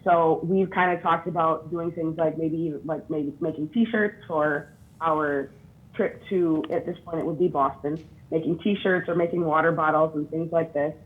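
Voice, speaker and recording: A man speaking through a thin, phone-like line, his voice a little husky and held to a narrow pitch range. He talks in a loose way, full of fillers, as he presents a school club. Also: fairly even intonation, normal speed. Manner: loose, filler-heavy phrasing. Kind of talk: casual presentation.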